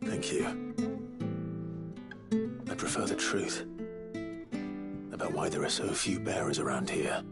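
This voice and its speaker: Serious warrior, super deep voice, few words, loud guitar music under it, fantasy game. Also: male voice, vocal fry, full character voice. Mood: serious, probing. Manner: measured, terse. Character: grave, reserved warrior man, courteous and serious. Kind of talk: dramatic video game acting.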